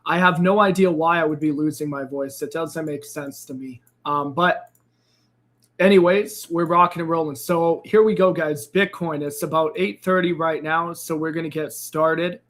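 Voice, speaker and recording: A man with a fairly high, slightly raspy voice, still a little hoarse from losing it. He hosts a live trading stream in a loose, upbeat way, greeting viewers by name and filling gaps with "uh" and "um". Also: steady tone, normal speed, a bit bright. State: slightly hoarse, recovering from a lost voice. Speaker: crypto trading livestream host. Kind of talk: casual livestream chat.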